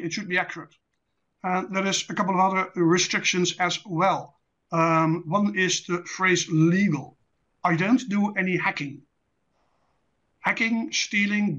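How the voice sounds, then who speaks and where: Dutch-accented man lecturing in an even tone. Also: high voice. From Netherlands. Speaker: presenter, intelligence specialist. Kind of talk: online lecture. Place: online presentation with screen share. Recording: dull lo-fi online call audio.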